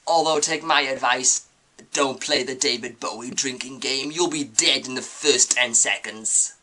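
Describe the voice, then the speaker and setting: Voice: medium pitch, unhurried, a crowd in the background. A reviewer giving semi-scripted commentary on a series. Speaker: video reviewer.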